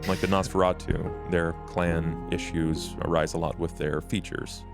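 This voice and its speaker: A man playing a grave, cautious, protective vampire mentor in a tabletop game, speaking in a formal, deliberate way. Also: sits low, flat delivery, vocal fry, music in the background. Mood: cautioning. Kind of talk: in-character tabletop roleplay.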